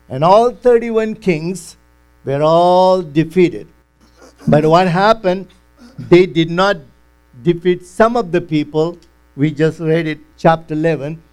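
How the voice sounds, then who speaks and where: A man preaching a sermon, teaching slowly and deliberately and acting out quotes. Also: fairly high pitch, darkish tone, poor audio.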